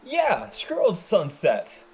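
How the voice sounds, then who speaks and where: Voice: medium pitch, huge pitch swings, slow pace, darkish tone, pitched above the performer's own voice. A narrator voicing a brash, hot-tempered tomboy teenage girl who shrieks and swears. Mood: furious.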